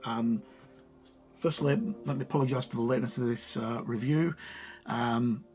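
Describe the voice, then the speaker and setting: A man giving a casual, halting review of a tabletop game product in an informal way. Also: medium pitch, steady tone, darkish tone. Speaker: video reviewer. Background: music.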